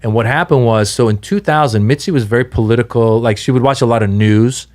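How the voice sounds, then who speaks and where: A middle-aged man from Iran, telling long stories in a casual podcast chat and jumping up in pitch when he gets excited. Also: quick pace. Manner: animated storyteller, pitch jumps high when excited. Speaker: guest comedian.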